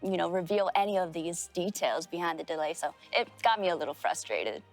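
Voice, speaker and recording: A woman interpreting for a game developer in measured, formal phrasing. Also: fairly low pitch, animated, faint music behind. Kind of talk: consecutive interpreting.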